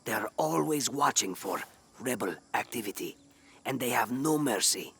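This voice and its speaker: An actor playing a wary villager giving grim warnings in a video game. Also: male voice, mid-range voice, animated, moderate pace, creaky, fairly bright tone. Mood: wary, urgent.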